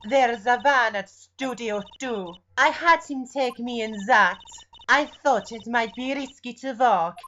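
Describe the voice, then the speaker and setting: A woman's voice: fairly high pitch, a little slow, hardly any pauses, fairly bright tone, lo-fi sound, a little hiss or hum. A woman voicing a cold, menacing, imperious female producer in a video game fan dub, curt and disdainful, over music. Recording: faint background music behind voice.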